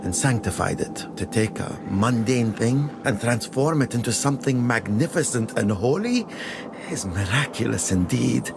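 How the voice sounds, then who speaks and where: An actor playing a sly, self-deprecating merchant in a video game, talking in an ingratiating, hesitant way. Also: male voice, mid-range voice, lively intonation, moderate pace, vocal fry, background noise. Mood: awed. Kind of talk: video game dramatic acting.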